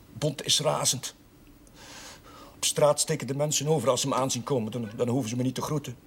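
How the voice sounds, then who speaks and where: A man's voice: medium pitch, close to an everyday voice. Earnest, troubled young curate played by a man, slightly noisy soundtrack. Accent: Dutch. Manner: earnest, brooding. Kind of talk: television period drama dialogue. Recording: noisy old television soundtrack.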